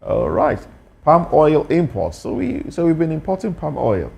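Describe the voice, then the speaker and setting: A man's voice: a little high, very animated, normal speed, creaky, a little mellow. A man from Lagos with a Nigerian accent, hosting a casual discussion of the news. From Nigeria, grew up in Lagos.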